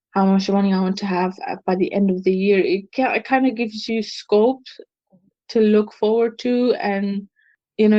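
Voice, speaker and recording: A woman's voice: mid-range voice, darkish tone, no room sound, dull audio. Young woman host, chatty and dry. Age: young adult. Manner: chatty, restarts sentences often. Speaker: podcast host. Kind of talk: casual chat.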